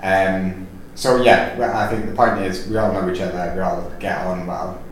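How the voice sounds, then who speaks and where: A man's voice: low-pitched, steady tone, normal speed. A man with a Welsh accent hosting a podcast in a casual, rambling chat full of fillers and restarts. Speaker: podcast host. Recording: echoey room, distant mic, faint noise.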